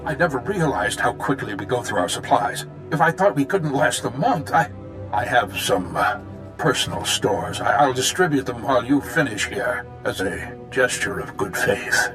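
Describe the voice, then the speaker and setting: A man playing a self-interested outpost commander in a game drama. He has a medium-pitched male voice and a defensive, easily flustered way of talking. Faint music runs underneath. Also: animated, moderate pace, natural voice. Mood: flustered, placating. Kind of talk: dramatic video game acting.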